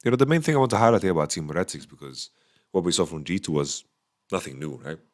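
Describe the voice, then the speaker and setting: A man with a slightly hoarse voice, casually giving a solo esports recap from a small hotel room into a microphone, thinking aloud with many fillers. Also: sits low, animated, fast. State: voice going a bit hoarse. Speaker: esports analyst. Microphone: studio microphone.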